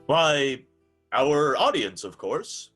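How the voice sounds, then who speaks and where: Animated old-time radio announcer, played by a man. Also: mid-range voice, drawn-out words, soft background music, exaggerated voice. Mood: polite, reassuring. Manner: formal, polite showman. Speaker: radio show host.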